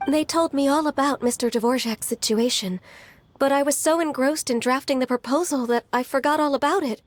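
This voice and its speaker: An actress voicing a soft-spoken, dutiful secretary. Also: female voice, high voice, fairly quick, slight background noise, natural voice. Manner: gentle, formal and shy. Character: diligent, soft-spoken young secretary woman. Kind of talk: video game voice acting. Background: game music.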